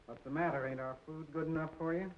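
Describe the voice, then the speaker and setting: A man's voice: on the high side, fairly even intonation, fast talker, natural voice. An actor playing a sour, grumbling working-class father in a mid-century film noir. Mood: scornful. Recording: muffled old soundtrack, faint noise.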